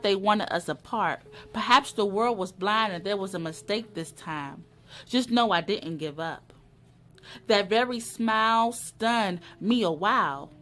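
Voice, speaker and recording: A woman giving a dramatic reading of poetry in short, emphatic, measured phrases. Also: mid-range voice, fairly bright tone. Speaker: poetry reader.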